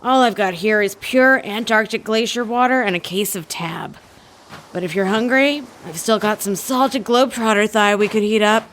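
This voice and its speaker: A woman's voice: medium pitch, moderate pace, fairly bright tone, natural voice. An actress playing a laid-back, slangy woman castaway in a comedy audio drama, breezy as a slacker. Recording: faint background ambience.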